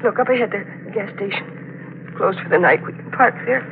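An actress playing a frightened young bride, pleading and breathless in a melodramatic 1940s radio mystery style. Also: female voice, medium pitch, a little fast, background noise, natural voice. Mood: worried, tender. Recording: narrow-band old radio recording with music.

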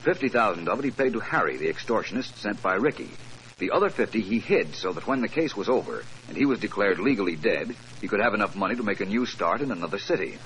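An American man playing a suave, self-assured detective in a 1940s radio drama, speaking in measured, precise phrases. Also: fairly low pitch, lively intonation, a bit bright. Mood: explanatory, assured. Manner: measured, precise, probing questioning and dictation. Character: suave, self-assured male detective solving a murder case. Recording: noisy old 1940s radio recording.

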